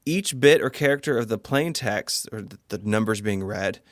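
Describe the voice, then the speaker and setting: A man's voice: on the low side, expressive, normal speed, no echo, studio quality. A man co-hosting a podcast, reading scripted explanations mixed with casual banter. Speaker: podcast co-host.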